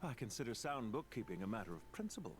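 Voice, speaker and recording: Shrewd banker villain for a game, played by a man: proud, formal, mid-pitched, very animated intonation, sardonic. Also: fast talker, soft background music, close to an everyday voice. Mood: proud, businesslike. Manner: formal, precise, drily sardonic. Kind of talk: scripted video game dialogue.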